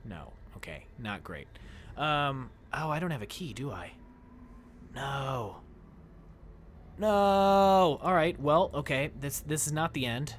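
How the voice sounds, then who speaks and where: Animated young man streaming. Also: on the high side, slow, long pauses, a little hiss or hum. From United States. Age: young adult. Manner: chatty, animated, talks to chat while playing. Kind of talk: casual gameplay commentary with chat. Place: small room indoors. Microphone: headset mic.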